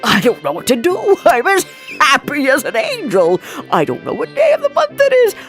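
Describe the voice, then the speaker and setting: A woman voicing an emotional old miser in a storybook, repentant and excitable, with emphatic exclamations. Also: high voice, moderate pace, soft background music, pitched above the performer's own voice. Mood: giddy joy. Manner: exclamatory, emphatic pleading and rejoicing. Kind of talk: storybook character voice.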